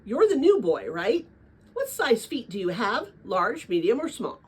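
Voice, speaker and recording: Older woman as businesslike male boss, storybook read-aloud, direct, instructing, animated pitch, husky. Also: unhurried, full character voice. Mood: brisk, businesslike.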